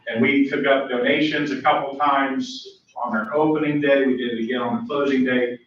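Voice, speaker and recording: A young man with a booming voice, earnestly giving thanks as a youth pastor at a school board public comment. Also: fairly even intonation, normal speed. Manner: earnest, grateful, loud speaking voice. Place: large meeting room. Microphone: room mic from far off. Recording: echoey, dull, distant room recording.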